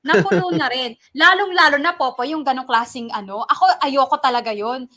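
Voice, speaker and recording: A Filipino woman co-hosting a casual advice talk, speaking in an expressive, conversational way and switching between two languages. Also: high voice, a little fast, a crowd in the background. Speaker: radio show co-host. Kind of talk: casual advice talk, giving commentary to listeners.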